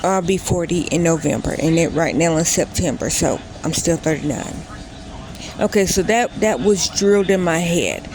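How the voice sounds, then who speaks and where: A woman's voice: on the low side, normal speed, noisy background. A woman in her late thirties telling a personal story in a casual vlog, rambling and often stopping to correct herself. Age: 30s. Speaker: vlogger.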